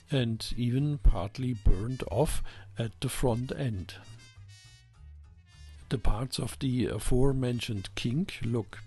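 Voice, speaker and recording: A man delivers scripted explanatory narration as a presenter. His voice sits at a middling pitch with a creaky, gravelly edge. The delivery is measured and instructional, with faint music behind it. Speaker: presenter of an electronics channel.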